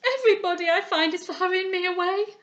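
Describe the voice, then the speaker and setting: A woman voicing a frantic young woman who wails high and tearful in grief. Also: quick pace, lo-fi sound. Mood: sobbing.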